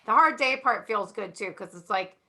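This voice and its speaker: A woman's voice: mid-range voice, expressive, normal speed, pushed and bright. A woman chatting casually and candidly as a guest in a group podcast, speaking informally.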